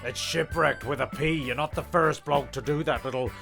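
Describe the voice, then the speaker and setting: A man's voice: on the high side, steady tone, moderate pace, creaky, fairly bright tone. A man playing a grumpy, touchy, rum-drinking narrator who gripes and swears in a comedy radio play. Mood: irritated. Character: grumpy rum-drinking narrator, shanty-singing old salt. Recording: music playing behind the voice.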